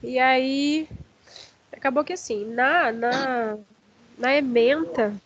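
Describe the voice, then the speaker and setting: Hoarse Brazilian woman lecturing, muffled. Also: high voice. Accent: Brazilian Portuguese. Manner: halting, many fillers and restarts. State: hoarse from allergy. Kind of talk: online lecture.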